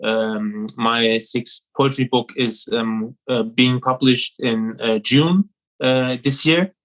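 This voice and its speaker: A German-accented man in his thirties speaks over a video call, pausing often and filling the gaps with uhs and ums. He comes across as hesitant, a poet describing his own work. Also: mid-range voice, fairly bright tone. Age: 30s. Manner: hesitant, many fillers. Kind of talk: casual video call chat, poetry reading.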